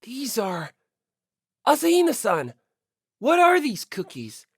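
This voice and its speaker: Audiobook role: keen, flustered young schoolboy sleuth, insistent, lively intonation played by a woman. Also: a little high, slow pace, breathy edge, no echo, clean studio sound, voice pushed up, heavily acted. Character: earnest, easily flustered teenage schoolboy playing detective. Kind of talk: audiobook character voice.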